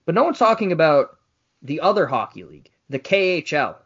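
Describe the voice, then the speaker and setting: A man's voice: a little high, very animated, brisk, fairly bright tone, slightly rough, muffled recording. A man co-hosting a casual sports podcast, chatty and wry as he relays news and teases his co-host.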